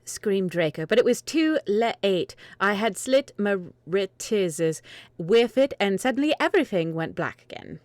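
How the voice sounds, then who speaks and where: A woman's voice: medium pitch, sing-song, measured pace, dry recording. A woman reading fan fiction dramatically and chatting casually with her co-readers between lines. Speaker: reader in a livestreamed group fanfiction reading.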